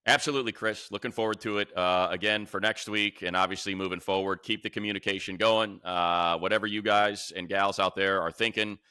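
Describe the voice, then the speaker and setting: A deep-voiced American man gives opinionated sports commentary. His voice is bright and forceful, recorded dry and close. He talks casually but emphatically, hammering a point by repeating it. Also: fairly even intonation, brisk, hardly any pauses, smooth, no room sound. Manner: emphatic, repeats phrases for effect. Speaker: sports podcast co-host and commentator.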